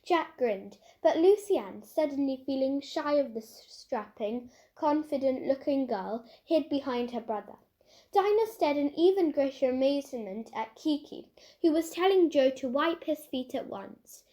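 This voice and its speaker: High-pitched woman reading a storybook. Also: expressive, a little slow, dark tone. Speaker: storybook reader. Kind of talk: expressive children's audiobook narration.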